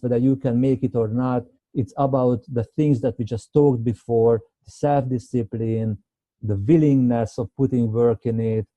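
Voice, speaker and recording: Hungarian-accented male webinar host, non-native English speaker, halting delivery, very dark tone, dry close sound. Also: medium pitch, no room sound, lo-fi sound. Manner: halting, many repeated words and fillers. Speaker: webinar host, medical doctor. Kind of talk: unscripted webinar Q&A.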